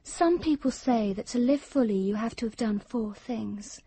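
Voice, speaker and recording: An actress in a radio drama playing an intense, obsessive young woman lover, earnest and solemn, with a soft breathy voice. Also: fairly high pitch, normal speed, dry recording. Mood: ardent, earnest.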